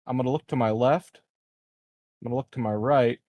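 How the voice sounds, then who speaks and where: A man's voice: medium pitch, steady tone, fairly quick, dry recording, dull audio. A man taking part in a tabletop roleplaying game as a player, bantering casually and deliberating aloud with some hesitation.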